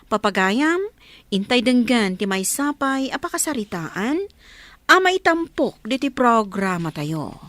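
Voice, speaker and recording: A woman with a Filipino Ilocano accent announcing and narrating for a radio drama, reading a scripted moral message in a measured, preachy way. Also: a little high, very animated, fairly bright tone, dry recording.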